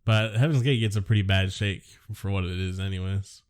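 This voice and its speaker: A man's voice: deep voice, fairly quick, smooth. A man co-hosting a casual podcast, sarcastic and dismissive, swearing offhandedly as he reviews a film. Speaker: podcast co-host.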